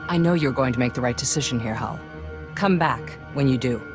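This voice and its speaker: Low-voiced, resolute project leader. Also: female voice, fairly quick. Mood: stern. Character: resolute, authoritative female Republic project leader. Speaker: voice actor in a video game. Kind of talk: scripted game cutscene dialogue. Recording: loud music under the dialogue.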